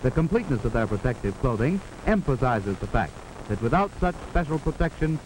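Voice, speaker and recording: An old, clearly noisy film soundtrack carries the voice of an American man. His pitch is average for a man. He delivers scripted 1940s instructional narration with formal authority, setting out each instruction in a measured way. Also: very animated. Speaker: narrator of a wartime civil defense instructional film.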